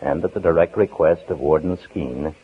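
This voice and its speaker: A man narrating a 1950s radio drama in a solemn, measured, dramatic way. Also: low voice, breathy edge. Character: grave, dramatic male storyteller of a true crime tale. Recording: old 1950s radio broadcast, narrow tinny band.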